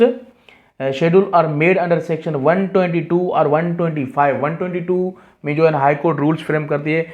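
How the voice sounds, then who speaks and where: A man's voice: a little high, a little mellow. A man with a Pakistani accent giving an explanatory lesson as a tutor, reading out definitions and then explaining them didactically with repeated key phrases. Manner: mixes Urdu-Hindi with English, didactic, repeats key phrases. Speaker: law exam tutor.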